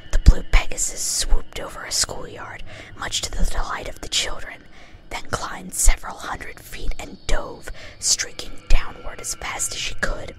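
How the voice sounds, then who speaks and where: A woman whispers her way through a story in ASMR reading style, breath in place of voice. Music plays clearly behind her. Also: measured pace, low-quality recording. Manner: casually swears at own slips. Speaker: reader.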